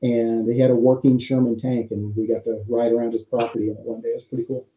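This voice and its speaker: A man's voice: mid-range voice, level tone, quick pace, reverberant, narrow, tinny audio. A man taking part as a contestant in an online game show, hesitant and full of fillers.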